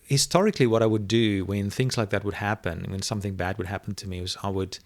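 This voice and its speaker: A middle-aged man whose first language is Afrikaans, reflectively telling a personal story as an interview guest and often pausing to search for words. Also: fairly low pitch, fairly even intonation, no room sound. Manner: thoughtful, searching for words, many restarts.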